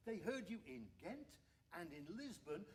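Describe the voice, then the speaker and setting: An excitable 1920s wireless engineer in a period radio play. He sounds flustered, with a high, very lively voice and faint ambience behind him. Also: moderate pace, a little hiss or hum. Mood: pleased, encouraging. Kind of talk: period radio drama acting.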